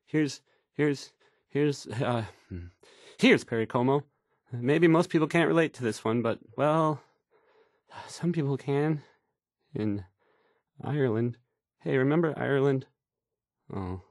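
A man's voice: fairly high pitch, no echo, clean studio sound, heavily acted. An actor playing an awkward young male radio DJ in a game, hesitant and stammering between songs.

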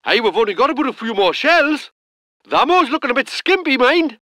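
A man voicing a gruff, salty self-propelled gun crewman, delivering game unit lines. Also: extremely high pitch, big pitch swings, a little fast, pushed and bright, no echo, exaggerated voice. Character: gruff northern British male gun crewman. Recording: dry close studio recording.

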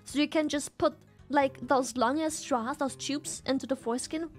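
A woman's voice: sits high, steady tone, moderate pace, soft background music. A woman streamer chatting crudely and teasingly with her viewers, laughing often. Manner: giggly, teasing, breaks into frequent laughter. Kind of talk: crude casual chat with viewers.

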